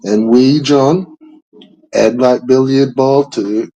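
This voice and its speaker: A man's voice: mid-range voice, fairly even intonation, slow, mellow, rough recording. A man, rambling and swearing through a laid-back casual chat.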